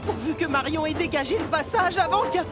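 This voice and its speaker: A woman's voice: high-pitched, fast talker, loud music behind the voice, lightly acted. A woman voicing a plucky young male tank engine in an earnest, boyish cartoon manner. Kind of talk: children's cartoon dub acting.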